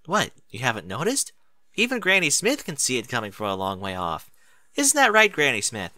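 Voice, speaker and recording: A man's voice: mid-range voice, huge pitch swings, normal speed, pushed and bright, dry recording, heavily acted. A man voicing a playful, lilting storybook mare. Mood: teasing. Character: chatty, teasing adult mare, playfully impatient.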